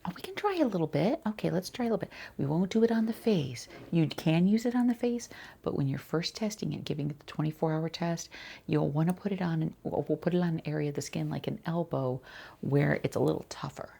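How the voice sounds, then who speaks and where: A woman speaks quietly in an ASMR roleplay as a skincare consultant, her soft, breathy voice husky and full of creak. She rambles casually and reassures her client as she goes, with a mellow, slightly low tone that often drifts toward a whisper. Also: animated, a little fast. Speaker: ASMR creator playing a skincare boutique consultant.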